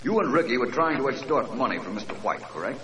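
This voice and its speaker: Gentleman sleuth: composed, dryly polite, very bright and animated, old-time radio with echo. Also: mid-range voice, fast, slight background noise. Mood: cool, probing. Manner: polite, crisp, dryly ironic. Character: composed, commanding gentleman detective. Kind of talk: 1940s radio acting. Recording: echoey old radio recording.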